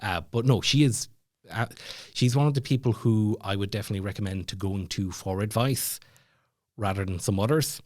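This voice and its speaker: A man's voice: fairly low pitch, a little fast, no room sound, studio quality. An Irish man chatting casually as a podcast guest, telling stories in a rambling, self-deprecating way. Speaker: podcast guest, former comedy club MC. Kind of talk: casual storytelling chat.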